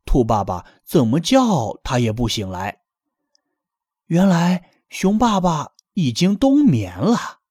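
Husky man telling a lively bedtime story. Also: a little high, slow, no room sound, clean studio sound. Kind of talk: children's bedtime story reading.

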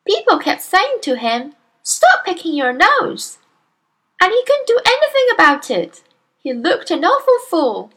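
A clever little storybook girl played by a woman, all cheeky politeness and false innocence. Her voice is very high and lilts up and down in a lively way. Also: a little slow, exaggerated voice. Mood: innocent storytelling. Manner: mock-innocent, matter-of-fact.

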